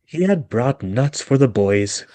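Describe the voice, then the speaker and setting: A man's voice: mid-range voice, animated, a little slow, warm tone, no echo. A man narrating a storybook dramatically as part of a group reading.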